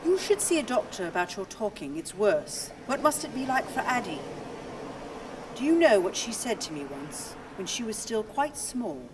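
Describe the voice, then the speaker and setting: An actress playing a long-suffering wife in a radio drama, chiding yet detached and matter-of-fact. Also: female voice, mid-range voice, big pitch swings, normal speed, far from the mic, noisy background, lightly acted. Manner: chiding, questioning. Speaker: radio play actor.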